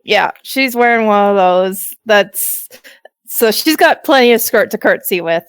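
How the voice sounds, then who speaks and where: A woman's voice: medium pitch, unhurried, clean tone, no echo. A woman playing a tabletop game, chattily describing her character's actions. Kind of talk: casual chat.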